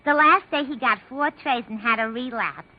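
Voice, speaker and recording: A woman's voice: high voice, moderate pace. A woman playing a chatty, wisecracking switchboard operator in a 1950s radio comedy monologue, gossipy and slangy. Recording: dull 1950s radio recording.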